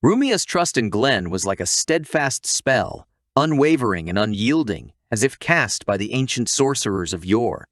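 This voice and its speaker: A man's voice: mid-range voice, big pitch swings, moderate pace, hardly any pauses, a bit bright, no room sound, studio quality. A man narrating a scripted story recap in a playful, theatrical way, addressing the audience like a storyteller.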